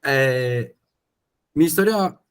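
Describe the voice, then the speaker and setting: Man from Chile, mid pitch, rambling podcast talker. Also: animated, extremely slow, clear, resonant tone. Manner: rambling, with repeated words and fillers. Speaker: podcast host. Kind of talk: casual chat.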